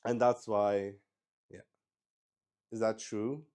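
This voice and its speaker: A man's voice: lowish voice, level tone, takes it slowly, dark tone. A man hosting a casual livestream, thinking aloud and rambling in a hesitant way. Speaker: streamer hosting a solo tabletop roleplay stream. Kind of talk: casual improvised livestream chat.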